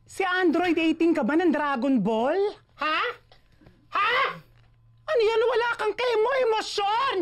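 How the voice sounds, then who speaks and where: A woman's voice: extremely high pitch, expressive. A woman playing a brash, impatient, sarcastic radio drama director in a comedy film, theatrical and exasperated. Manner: rapid-fire, exasperated, theatrical.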